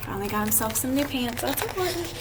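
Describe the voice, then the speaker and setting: A woman reading a picture book aloud to children, expressive and drawn out, with chatty asides to her listeners. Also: sits high, fast. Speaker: read-aloud storyteller. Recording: clearly noisy amateur recording.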